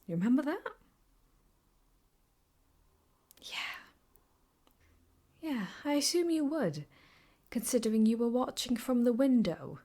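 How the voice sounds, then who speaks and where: A woman playing an obsessive, possessive, sweetly menacing ex-girlfriend in an improvised ASMR roleplay, teasing and often slipping into breathy whispers. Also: a little high, expressive, moderate pace, mellow. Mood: aggrieved, controlled.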